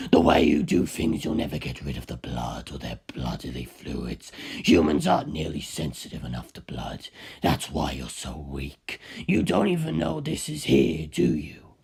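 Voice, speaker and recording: A man voicing an ancient superhuman villain in an audiobook, menacing and contemptuous, with a very deep, gravelly, husky voice and slow theatrical delivery. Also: very animated, darkish tone, full character voice. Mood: scornful. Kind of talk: dramatized audiobook character voice.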